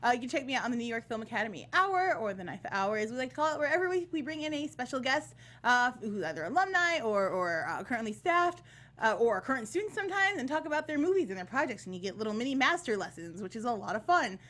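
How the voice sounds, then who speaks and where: Woman guest on a review panel, highish voice, chatty and really into it. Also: sing-song, fast, a bit bright. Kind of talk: casual panel chat.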